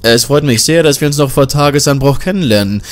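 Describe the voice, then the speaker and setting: A man voicing a level-headed male journalist who talks in a calm, polite, reassuring way. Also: medium pitch, quick pace, voice pushed up, lightly acted.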